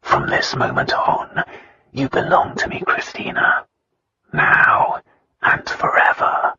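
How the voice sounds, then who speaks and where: A narrator voicing an intense, possessive yet tender male lover in a romance audiobook, in breathy, intimate whispers. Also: takes it slowly, muffled recording, lightly acted. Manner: urgent, intimate. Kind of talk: romance audiobook character dialogue.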